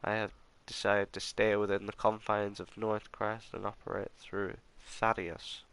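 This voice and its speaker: Gravelly, slightly nasal gaming host. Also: male voice, fairly low pitch, completely flat delivery, a little slow. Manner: musing, reads in-game documents aloud in a soft murmur. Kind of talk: let's play commentary.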